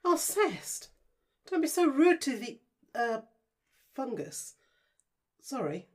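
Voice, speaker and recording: A woman's voice: sits high, sing-song, slow pace, exaggerated voice. A woman playing a brash, flirtatious, down-to-earth character in a comic audio drama, talking in a chummy, blunt, colloquial way. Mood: chiding, curious.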